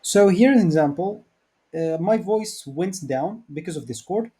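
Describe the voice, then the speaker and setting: Tutorial presenter, mellow mid-range voice, casual step-by-step explanations. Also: mid-range voice, lively intonation, measured pace, slightly rough.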